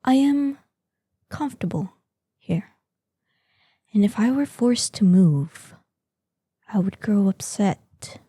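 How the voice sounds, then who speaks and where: A woman playing an ancient, proud female dragon in a scripted audio roleplay, imperious in manner, with a breathy voice that lapses into whispers. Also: mid-range voice, expressive, warm tone, no room sound, clean studio sound. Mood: languid threat. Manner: slow, imperious, savoring threats. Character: ancient, menacing, proud female dragon guarding hoard, lonely, excitable.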